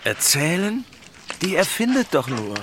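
A man's voice: a little high, sing-song, unhurried, fairly bright tone, a little hiss or hum. An actor playing a slightly irritated man in a radio play, terse and questioning. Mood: probing. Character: probing, slightly irritated male café companion.

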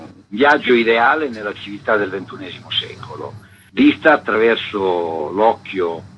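A man's voice: low-pitched, fairly bright tone, slight background noise, poor audio. A man interviewed on the radio as an exhibition coordinator, giving long, articulate explanations.

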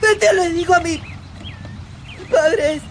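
A woman's voice: very high voice, slow, a little hiss or hum. A woman playing a frightened but defiant little boy in a radio drama. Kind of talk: dramatic radio play acting.

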